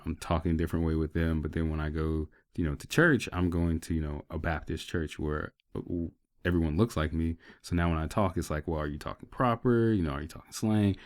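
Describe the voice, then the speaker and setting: This is a man with a very low voice co-hosting a podcast. He talks in an easygoing, agreeable way and often chimes in with short replies. He sounds relaxed and casual. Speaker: podcast co-host. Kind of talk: casual chat.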